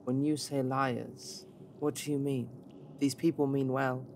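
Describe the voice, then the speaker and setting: A man's voice: medium pitch, flat delivery, measured pace, darkish tone, slight background noise. A man playing on a tabletop podcast, talking casually and playfully, in and out of character. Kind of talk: casual chat and in-character play.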